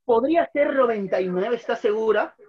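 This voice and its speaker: A man's voice: extremely high pitch, expressive, normal speed, dull audio, slight background noise. A man in his thirties teaching math at a whiteboard in a small room, patiently repeating each step and putting questions to his students. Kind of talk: online class at a whiteboard.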